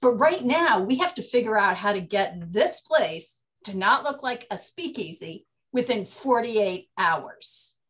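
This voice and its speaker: An actress playing a brash, slangy speakeasy proprietress of the 1920s in a comic period drama. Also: female voice, medium pitch, expressive, a little slow, few pauses, a bit bright. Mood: flustered, scheming. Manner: bossy, slangy. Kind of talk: period comedy radio-play acting.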